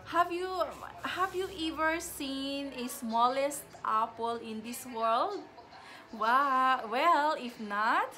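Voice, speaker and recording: A woman in her thirties with a Filipino accent, chatting casually to her vlog camera at home, halting and often restarting her sentences. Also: on the high side, bright, forceful tone, slight background noise. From Philippines. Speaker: vlogger. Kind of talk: casual vlog chat mixing English and Tagalog. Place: home living room, indoors. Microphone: camera in front, seated.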